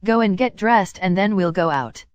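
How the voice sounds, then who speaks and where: A woman's voice: medium pitch, expressive, normal speed, no echo, lo-fi sound, lightly acted. A woman voicing a caring older sibling in a story reading, speaking in a casual, reassuring way. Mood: caring, practical. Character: caring older sibling, boy reborn in girl's body.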